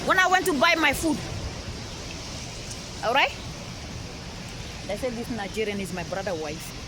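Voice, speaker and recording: A woman's voice: fairly high pitch, very animated, a little slow. A Malian-accented woman telling a rambling personal story outdoors, repeating herself and checking in with "all right?". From Mali. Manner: repetitive, insistent, frequent "all right?" checks. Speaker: street interviewee. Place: busy city street outdoors. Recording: noisy outdoor street recording. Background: traffic and street noise.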